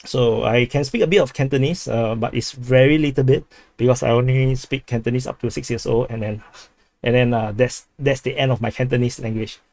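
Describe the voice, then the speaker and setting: A man's voice: medium pitch, fairly even intonation, a little fast, a little mellow, no room sound. A man from Singapore with a Singaporean English accent, hosting a casual livestream, rambling with many restarts while reading chat aloud. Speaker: livestream host.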